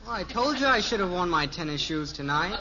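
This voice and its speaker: A man's voice: high voice, expressive, a little fast, heavy background noise. Cheeky teenage boy, muffled old radio. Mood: brash, wheedling. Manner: brash, slangy, whining. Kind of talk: 1950s radio sitcom acting.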